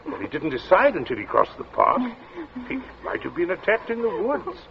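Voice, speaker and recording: A man's voice: high-pitched, wildly swooping pitch, moderate pace, vocal fry, exaggerated voice. An actor playing a stern, calculating middle-aged father in a radio drama, clipped and commanding, speaking like an interrogator. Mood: cold, commanding. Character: stern, domineering, cold calculating father, a Major. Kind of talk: 1940s radio drama acting. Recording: muffled, noisy old radio recording.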